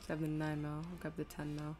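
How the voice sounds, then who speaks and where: A woman's voice: low voice, monotone, a little fast, music in the background. A woman streaming a video game, thinking aloud in casual commentary with self-deprecating, exasperated asides.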